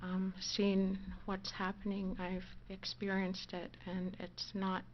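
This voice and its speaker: A soft-spoken woman giving a nervous, halting closing statement in a courtroom, speaking through a courtroom microphone. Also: medium pitch, monotone, dull audio, slight background noise. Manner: hesitant, halting with fillers and restarts. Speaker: defendant giving closing argument.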